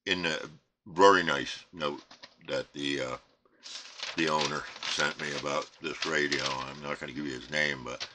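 A middle-aged American man giving a casual, unscripted show-and-tell, rambling and halting as he trails off. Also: very deep voice, fairly even intonation, pushed and bright. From United States. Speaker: CB radio hobbyist presenting his equipment. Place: indoor radio bench crowded with equipment. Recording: clear background noise, room ambience.

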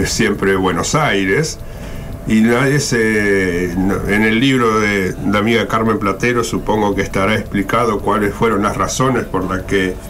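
A man's voice: fairly low pitch, a bit bright, slight background noise. A man hosting a radio show in an informal, effusive, wandering monologue. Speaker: radio host. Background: music.

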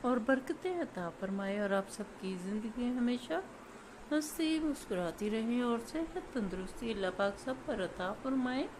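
A woman's voice: fairly high pitch, lively intonation, a little slow, background noise. Devout woman hosting a fashion channel. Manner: formal, devout phrasing with frequent blessings. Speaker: channel host. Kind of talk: scripted voice-over showcase.

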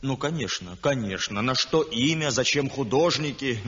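A man's voice: mid-range voice, animated, moderate pace, fairly bright tone, lightly acted. An actor playing a blunt, pragmatic male painter who scoffs at his friend's choices in a 1960s radio drama. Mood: exasperated. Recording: dull old radio recording with long reverb.

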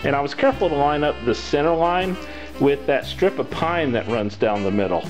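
Man in his 40s, workshop host, easygoing how-to delivery, a bit high-voiced, roomy shop sound with guitar music behind. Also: normal speed. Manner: relaxed, plain-spoken, self-deprecating. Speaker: woodworking channel host. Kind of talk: casual how-to narration. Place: home woodworking shop. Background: guitar background music.

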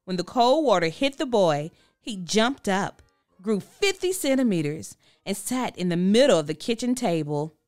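A woman reading a children's book aloud with expressive, deliberate storytelling. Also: mid-range voice, no echo, faint music behind. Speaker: storybook reader. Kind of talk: children's read-aloud narration.

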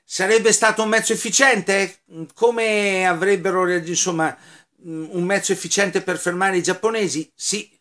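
A middle-aged man in his fifties talking casually to the camera as a history video host, halting with many fillers and repeated words, joking sardonically and calling his viewers sirs. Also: high voice, fairly even intonation, a bit bright. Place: indoor room full of flags and scarves.

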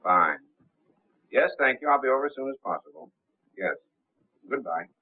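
A man's voice: medium pitch, big pitch swings, moderate pace, slightly rough. An actor in his thirties playing a polite, formal man posing as a lawyer in a 1930s film. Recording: tinny 1930s film soundtrack.

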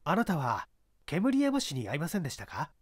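A man voicing a polite, persistent detective who questions suspects in a measured way in an audiobook. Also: fairly high pitch, wildly swooping pitch, slightly rough, pitched below the performer's own voice, heavily acted. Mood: pressing. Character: composed, probing male detective questioning a suspect. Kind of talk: dramatised audiobook reading.

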